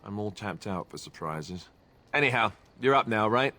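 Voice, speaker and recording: An actor playing a wry, self-deprecating young warrior in a video game, talking in an easygoing, joking way. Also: male voice, on the low side, animated, normal speed, pushed and bright. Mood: reassuring. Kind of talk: video game cutscene dialogue.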